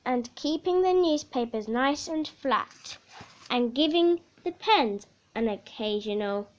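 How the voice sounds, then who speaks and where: A woman reading a children's picture book aloud in a theatrical, measured way, with dramatic emphasis. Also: on the high side. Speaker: story reader.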